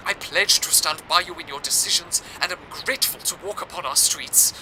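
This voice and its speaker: A man's voice: fairly high pitch, a little fast, bright, forceful tone, a little hiss or hum, low-quality recording. A man playing an earnest, deferential radio presenter in an audio drama, speaking in a formal, theatrical scripted style. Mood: apologetic. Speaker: radio broadcast host.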